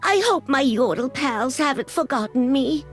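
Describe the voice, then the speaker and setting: A woman playing a kindly, forgetful old yordle grandmother in a video game, with a gentle, doting, quaint manner. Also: sits high, animated, normal speed, faint music behind. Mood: wistful, whimsical. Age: older. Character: kindly, forgetful, whimsical grandmotherly yordle lady who loves tea. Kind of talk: video game character voice lines.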